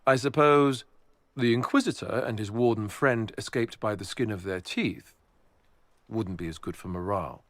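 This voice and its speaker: An actor playing a brave, dutiful male warrior in a video game, with a wry and expressive delivery. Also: lowish voice, normal speed, dry recording, lightly acted. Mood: wry, relieved. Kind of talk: dramatic video game acting.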